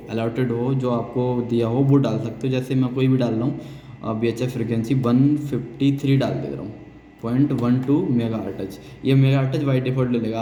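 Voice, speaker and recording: A man's voice: medium pitch, mellow. Indian man teaching in an echoey room. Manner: step-by-step explaining, repeats key phrases. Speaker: technical instructor. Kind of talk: hands-on spoken software tutorial. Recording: echoey reverberant room, noisy, distant sound.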